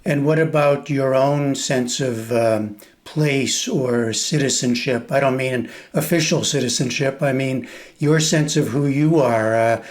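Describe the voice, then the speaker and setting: A man's voice: medium pitch, darkish tone. Male interviewer, hesitant searching questions. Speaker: interviewer, journalist. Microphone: remote video call.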